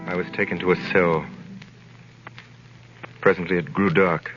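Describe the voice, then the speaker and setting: A man's voice: low-pitched, steady tone, measured pace, loud music behind the voice. An actor playing a wrongly imprisoned young sailor telling his ordeal, in a grave, theatrical 1930s radio style. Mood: grave, wistful. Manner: grave, dramatic, theatrical delivery. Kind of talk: 1930s radio drama acting and first-person narration. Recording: noisy, muffled 1938 radio broadcast.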